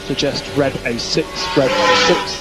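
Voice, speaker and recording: A man's voice: medium pitch, measured pace, heavy background noise. Clipped male race engineer on team radio. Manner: clipped, procedural, repeats instructions. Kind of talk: team radio instructions to a racing driver.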